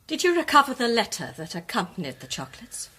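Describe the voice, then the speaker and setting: An actress playing an outspoken, sardonic member of an amateur detective circle in a period radio drama, cool and pointed. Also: female voice, a little high, big pitch swings, quick pace, sharp tone, slightly rough, heavily acted. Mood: interested.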